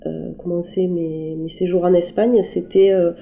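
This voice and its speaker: Hesitant, dark-voiced woman. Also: lowish voice, flat delivery, creaky. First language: French. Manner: halting, many fillers and restarts. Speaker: interviewed artist. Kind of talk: hesitant conversational interview. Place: her apartment.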